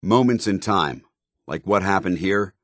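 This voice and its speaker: An actor playing a stern, zealous soldier companion in a video game, with an American accent and a formal, earnest military delivery. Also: male voice, sits low, lively intonation, unhurried, no echo, clean studio sound. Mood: solemn.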